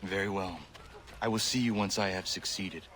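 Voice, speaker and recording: An actor playing a solemn, resolute warrior hero in a video game, terse and formal in speech. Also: male voice, low voice, steady tone, normal speed, background noise, lightly acted.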